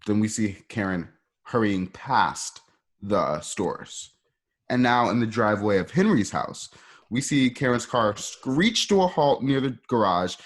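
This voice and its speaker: A man's voice: fairly low pitch, breathy edge. A man casually narrating scene directions for a radio play, describing the action as it unfolds. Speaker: narrator reading stage directions.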